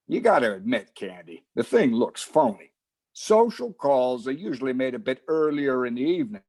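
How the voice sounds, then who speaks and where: Actor as a swooping, husky, sardonic police inspector. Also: male voice, mid-range voice, big pitch swings, moderate pace, darkish tone. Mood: teasing, mock-hurt. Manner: bantering, mock-dramatic. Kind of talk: old-time radio detective acting.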